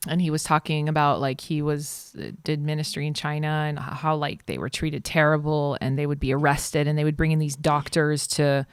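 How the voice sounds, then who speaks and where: A woman raised in Israel who speaks with an American accent, a ministry leader telling her story as a podcast guest in a casual, animated way with many fillers. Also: low-pitched, fairly quick, few pauses, smooth, dry recording, clean studio sound. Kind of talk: casual interview conversation.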